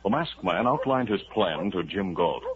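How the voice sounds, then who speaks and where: A man narrating a radio drama with an even, storytelling delivery. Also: low voice, moderate pace. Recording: tinny old radio recording with faint hiss.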